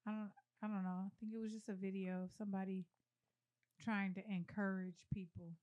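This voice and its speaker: A woman's voice: mid-range voice, little pitch movement, fairly quick, darkish tone, highs cut off. A woman hosting a casual conversation, talking easily and often agreeing with her guest. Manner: affirming, conversational, frequent agreement.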